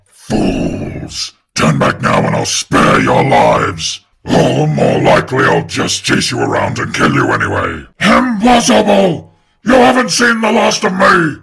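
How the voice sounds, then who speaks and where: An actor voicing a gloating male scrap-metal robot villain in a video game, with a gravelly, raspy voice. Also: high-pitched, wildly swooping pitch, takes it slowly, a bit bright, full character voice.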